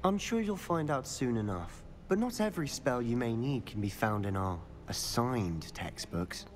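A man's voice: mid-range voice, animated, normal speed, a little hiss or hum, close to an everyday voice. A young man playing a teenage wizard student in a video game, curious, secretive and teasing in a confidential way. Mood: coy, curious. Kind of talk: video game cutscene acting.

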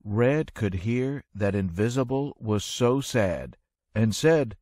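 A man narrating a rhyming children's story in an expressive, measured storytelling manner. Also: medium pitch, no room sound, clean studio sound.

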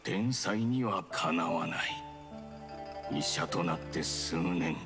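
A grave male narration of an ancient legend. His low voice moves slowly and formally, with music clearly behind it. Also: exaggerated voice. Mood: solemn. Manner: solemn, formal. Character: solemn male storyteller of an old legend. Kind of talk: scripted archaic legend narration.

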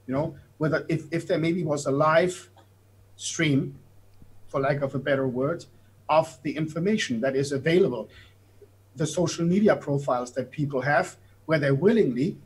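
A man's voice: on the high side, poor audio. A man in his fifties, a native German speaker with a German accent, explaining things at length on a video call from an office, gesturing as he talks. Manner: explanatory, gestures while talking, some hesitant fillers. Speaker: guest. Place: office room. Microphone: video call webcam.

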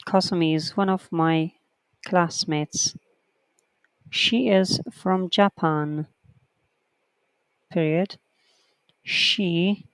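A writing teacher giving an online lesson, reading textbook sentences aloud slowly and counting the corrections one by one. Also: mid-range voice, clean tone, no room sound, low-quality recording.

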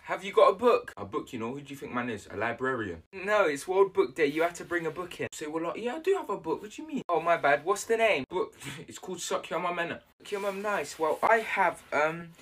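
A young man acting out school comedy sketches, switching characters with quick slangy banter. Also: fairly high pitch, very animated, a bit bright, slight background noise. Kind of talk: sketch comedy playing all the parts. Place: school classrooms and corridors, indoors.

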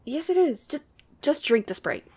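A woman's voice: sits high, big pitch swings, normal speed, darkish tone. A woman playing a sweet, teasing, clingy demon girlfriend in a casual ASMR roleplay. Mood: teasing, caring. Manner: casual, teasing, playfully exasperated. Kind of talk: ASMR roleplay audio drama.

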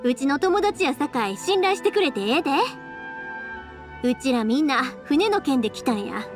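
A warm-hearted, dependable young woman for an anime game. Her voice is high and swings freely, in a breezy Kansai dialect. Faint music plays behind her. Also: moderate pace. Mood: bright, upbeat. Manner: lively, colloquial dialect.

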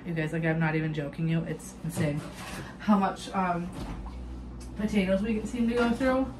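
A woman's voice: lowish voice, background noise. A young woman chatting casually with many ums and restarts, showing her groceries in a home kitchen, recorded on a propped-up phone. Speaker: vlogger. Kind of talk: casual grocery haul chat. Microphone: phone camera propped on counter.

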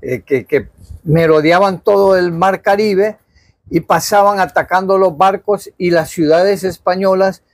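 An older man, a historian being interviewed in a small study, talking casually and pausing to search for words. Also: sits high, no echo. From Honduras. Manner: halting, searches for words, gestures while talking. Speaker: historian guest. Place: small cluttered study with bookshelves.

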